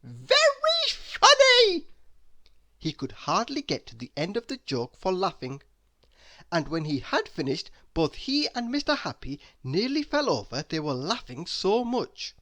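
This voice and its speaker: A woman reading a children's story aloud, expressive and deliberate, with dramatic emphasis. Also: deep voice. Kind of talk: children's read-aloud narration.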